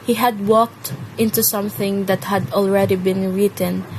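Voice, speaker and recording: A woman's voice: mid-range voice, level tone, moderate pace, slight background noise, low-quality recording. A woman reading a scripted, dramatic story as its narrator. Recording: loud music and rain behind.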